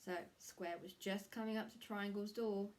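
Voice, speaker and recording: A woman teacher with an English accent reading a picture book aloud to children, gently asking them questions along the way. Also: mid-range voice, steady tone, a little hiss or hum. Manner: gentle, slow, asks the listening children questions. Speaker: primary school teacher.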